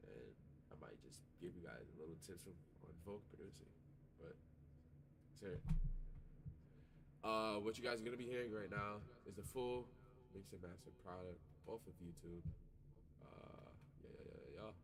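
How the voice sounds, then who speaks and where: A man's voice: low voice, lively intonation, normal speed, gravelly, pauses often, noisy background. A man who makes music, stuffy-nosed, talking casually and loosely in his home studio with lots of fillers and swearing. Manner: laid-back, rambling, lots of fillers and swearing. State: stuffy nose. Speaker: music artist and producer.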